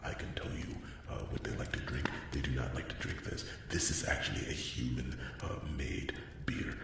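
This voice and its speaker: Man acting as male dwarf blacksmith, rock-bottom pitch, hesitant and repetitive, socially awkward, fantasy ASMR, heavily echoing noisy recording. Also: level tone, moderate pace. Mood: informative, halting. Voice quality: very deep, gravelly. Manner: stammering, hesitant, repetitive, earnest. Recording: very echoey, loud noise, distant mic.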